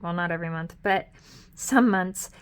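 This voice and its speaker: Chatty middle-aged woman, slightly hoarse. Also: on the low side, moderate pace. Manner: chatty and upbeat, with frequent ums and self-corrections. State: hoarse, losing her voice. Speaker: budgeting vlogger. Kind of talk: casual budget walkthrough.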